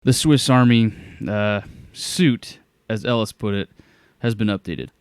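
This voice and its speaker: Man as audio-drama inventor hero: earnest strategist, smooth lowish voice, dry close sound. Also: measured pace, dry recording, close to an everyday voice. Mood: matter-of-fact. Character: determined, clever inventor hero planning a battle. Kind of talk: scripted audio drama acting.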